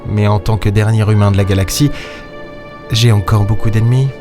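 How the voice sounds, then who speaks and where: An actor playing a cynical, impulsive space captain in a sci-fi audio drama, with a sardonic, gruff, dismissive way of talking. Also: male voice, on the low side, fairly even intonation, a little fast, close to an everyday voice. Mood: wry, brooding. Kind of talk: sci-fi audio drama, noir-like first-person narration and dialogue. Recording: music under the voice.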